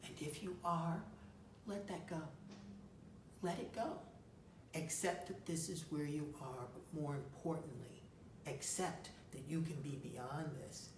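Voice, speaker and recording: A woman giving advice as a coach and host, speaking in a deliberate, emphatic way to answer a listener. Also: deep voice, fairly even intonation. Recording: roomy echo, distant mic, faint noise.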